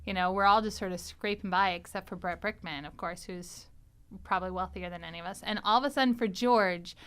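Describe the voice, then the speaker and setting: A woman hosting and editing a podcast, chatting in a studio, lively and quick to laugh. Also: on the low side, clean tone. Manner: animated, laughs often. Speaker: podcast host and editor. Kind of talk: casual chat.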